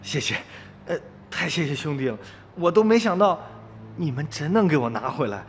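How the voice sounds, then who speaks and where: Very high-voiced, desperate village man. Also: very animated, normal speed, slight background noise. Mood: grateful, meek. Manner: pleading, hesitant, repeating himself. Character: desperate, naive younger village man, pleading stubbornly. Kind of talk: Chinese radio drama acting. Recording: long reverb, music underneath.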